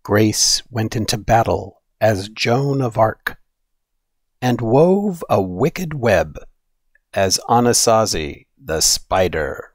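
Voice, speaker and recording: Guy reading kids picture book out loud, slow and animated, dry close sound. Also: lowish voice, no echo. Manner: deliberate, animated storytelling. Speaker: storybook reader. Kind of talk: expressive read-aloud of a children's story.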